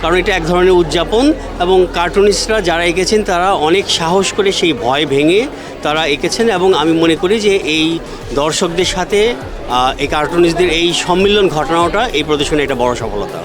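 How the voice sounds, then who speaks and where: A man's voice: on the high side, a little fast. A man with a Bangladeshi accent speaking in an interview as an event organiser. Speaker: exhibition organiser. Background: music.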